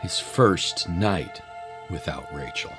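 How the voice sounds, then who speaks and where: A male narrator with a fairly low voice tells a scripted story. Music plays clearly beneath his narration. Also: lively intonation, takes it slowly.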